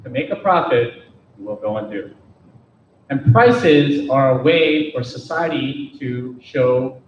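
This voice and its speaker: A man's voice: medium pitch, lively intonation, unhurried. A man speaking bluntly at a meetup, firing rhetorical questions at the audience, heard from a distance. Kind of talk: meetup Q&A talk. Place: meeting room. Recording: roomy, distant, dull lo-fi sound with faint noise.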